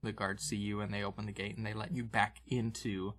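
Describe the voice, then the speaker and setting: A man's voice: lowish voice, flat delivery, fairly quick, a bit bright. A man working as game master, narrating an improvised tabletop adventure in a conversational, descriptive way. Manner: conversational, descriptive, with vocal sound effects. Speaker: game master, podcast host.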